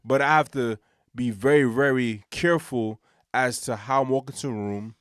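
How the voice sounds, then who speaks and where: A deep-voiced man co-hosting a casual podcast chat, relaxed and repeating words as he searches for his thoughts. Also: normal speed, clean tone, no room sound, clean studio sound. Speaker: podcast co-host. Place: hot room in a building.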